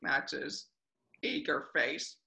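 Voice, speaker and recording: A man's voice: fairly high pitch, fairly even intonation, slow pace, creaky, bright, forceful tone, echoey room, exaggerated voice. A man voicing a gloomy, exasperated squid band leader in a cartoon table read, whiny and melodramatic. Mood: resigned.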